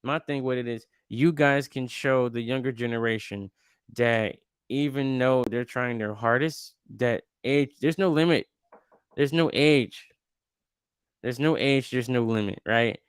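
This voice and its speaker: A young man in his twenties hosts a podcast, talking in a loose, slangy, rambling way. His voice comes through smooth and clear. The sound is dry, with no room echo. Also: medium pitch, unhurried. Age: 20s. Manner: laid-back, slangy, rambling with restarts. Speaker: podcast host. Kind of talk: casual interview chat.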